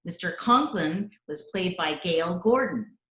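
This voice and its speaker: Female announcer for vintage-style radio comedy, unhurried scripted read, echoey video-call sound. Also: lowish voice, animated, a bit bright. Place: recorded remotely at home.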